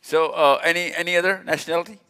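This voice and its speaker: A man's voice: a little high, fairly even intonation, quick pace, bright, forceful tone, clear, resonant tone. A man speaks live to an audience, direct and teasing, often repeating himself. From Pakistan. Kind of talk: live talk with audience interaction. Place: large event hall. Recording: long hall reverb at a live event.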